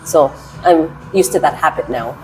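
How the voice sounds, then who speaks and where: A woman from the United States in her twenties, answering interview questions casually and with energy; she speaks with a naturally loud voice that she keeps held down. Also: low voice, soft background music, poor audio. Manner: animated, chatty, drawn-out words. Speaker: interviewee, English teacher.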